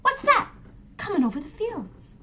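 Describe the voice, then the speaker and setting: A woman voicing a small, eager boy in a told story, in a high, piping childlike voice. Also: sing-song, moderate pace, pushed and bright, exaggerated voice.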